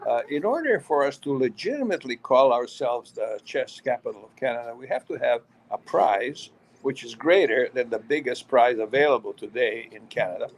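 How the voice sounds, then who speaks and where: A man's voice: medium pitch, lively intonation, normal speed, rough recording. An older man who grew up in Yugoslavia, hosting a casual radio interview and telling long, chatty stories. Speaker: radio host.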